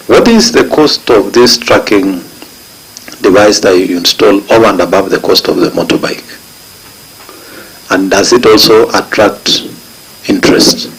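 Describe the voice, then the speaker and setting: A man's voice: deep voice, creaky, husky, low-quality recording. A man, a senator at a committee hearing asking direct questions and pressing for evidence. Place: parliament committee room.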